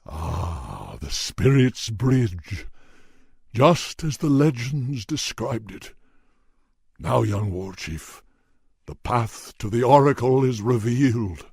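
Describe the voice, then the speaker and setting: Slow, gravelly old beast-man chieftain. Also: very animated, a little mellow, dry recording, clean studio sound, exaggerated voice. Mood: solemn awe. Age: older. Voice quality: deep, gravelly, weighty. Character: old wise male tauren chieftain, huge, solemn, gentle. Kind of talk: fantasy video game acting.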